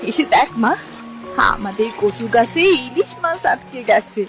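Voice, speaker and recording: A woman's voice: on the high side, animated, unhurried, over music. A woman voicing a poor, caring village mother in a cartoon, with a homely, exclamatory way of talking. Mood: amazed, urging. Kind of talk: cartoon voice acting.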